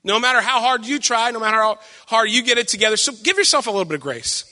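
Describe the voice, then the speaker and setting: A man's voice: very high-pitched, expressive, quick pace, sharp tone, big-room sound. A man giving an earnest, encouraging devotional talk, often repeating and restarting his phrases. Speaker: preacher.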